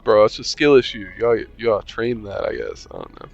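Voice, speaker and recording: A man's voice: lowish voice, wildly swooping pitch, fast, creaky, highs cut off, faint music behind. A young man reading a visual novel aloud, playing the player character with casual, joking asides. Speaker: gaming channel host.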